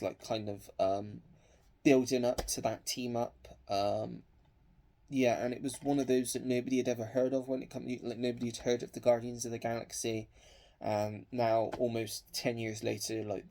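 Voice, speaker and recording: A man's voice: medium pitch, gravelly, mellow. A young man with a cold, sniffling as he chats hesitantly with lots of ums about his film collection. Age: young adult. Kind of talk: casual collection showcase.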